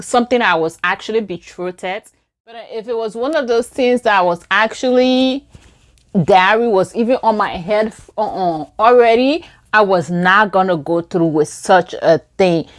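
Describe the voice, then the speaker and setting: A young woman with a Liberian English accent telling a story while doing her makeup, acting out quoted lines in a higher voice. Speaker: beauty vlogger.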